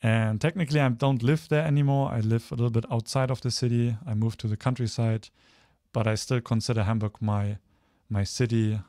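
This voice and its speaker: Male presenter, thirties, from Germany. Informal, hesitant slide talk, mid-range pitch, dry recording. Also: fairly even intonation, fairly quick, mellow, no room sound. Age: 30s. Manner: hesitant, searching for words. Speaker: guest speaker, photographer.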